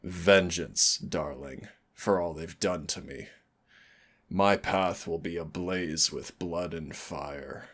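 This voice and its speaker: A man playing a suave, brooding immortal demon in an intimate roleplay audio drama, speaking with measured, solemn and darkly affectionate drama. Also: low-pitched, fairly bright tone, breathy edge, heavily acted. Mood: grave, vengeful. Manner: teasing endearments, measured, solemn dramatic delivery. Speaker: demon hunter's mentor and partner.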